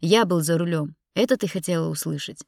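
A woman's voice: lowish voice, a little fast, vocal fry, darkish tone, no room sound, clean studio sound. A woman narrator voicing a guilty, tense husband who speaks tersely and pleads, in an audiobook drama. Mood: shouting, desperate.

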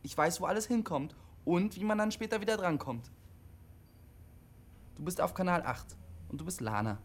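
A man's voice: high voice, lively intonation, normal speed. An actor playing a withdrawn, literal-minded CB radio operator in his twenties, matter-of-fact and pedantic, in a naturalistic radio drama. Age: 20s.

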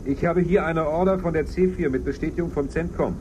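A man's voice: fairly high pitch, fairly quick, close to an everyday voice. Man playing an earnest investigator, noisy background. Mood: firm. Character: earnest, determined, level-headed male investigator of the future. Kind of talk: German science fiction radio drama.

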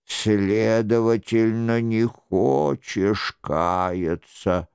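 Мужчина изображает низкий, медленный, грозный таинственный голос. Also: male voice, fairly low pitch, creaky, darkish tone, smooth, dry recording, studio quality, pitched below the performer's own voice, exaggerated voice. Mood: solemn, stern. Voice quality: hollow, muffled. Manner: slow, grave pronouncements. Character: stern, solemn, wrathful disembodied male voice demanding repentance.

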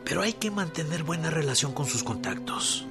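An actor playing a shrewd, calculating revolutionary general with a Mexican accent, speaking deliberately in a radio drama. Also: male voice, fairly high pitch, big pitch swings, creaky, over music.

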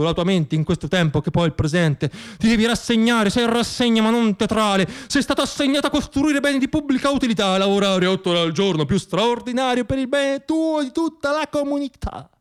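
A man with a distinctly high voice delivers a theatrical monologue for radio. He recites like a stage storyteller, in rhythmic, poetic phrases, declaiming with deliberate dramatic pauses. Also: expressive, clean tone. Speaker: solo stage actor and storyteller.

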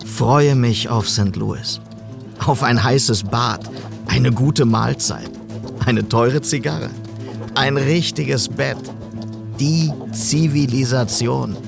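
A man's voice: mid-range voice, takes it slowly, creaky, music in the background. A man playing a weary adventurer reading out his terse, reflective journal in a western radio drama.

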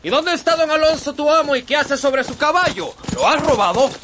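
An actor playing an anxious, righteous village priest in a radio drama, questioning in an agitated way. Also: male voice, very high voice, a little fast, noisy background. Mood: alarmed, accusing. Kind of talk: Spanish-language radio drama acting.

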